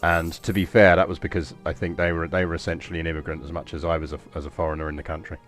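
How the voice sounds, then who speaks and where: A man's voice: deep voice, flat delivery, racing, fairly bright tone, soft background music. An Englishman chatting casually while live-streaming a game, rambling and self-deprecating. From England. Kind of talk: casual live gameplay commentary.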